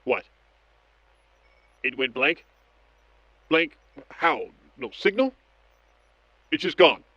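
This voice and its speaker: A man playing a methodical scientist in an audio drama, narrating each step of an experiment log in a measured, clinical way. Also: on the high side, very animated, bright, forceful tone, no room sound, heavily acted. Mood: baffled. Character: methodical male scientist doctor logging experiments on tape. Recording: dull lo-fi audio with background noise.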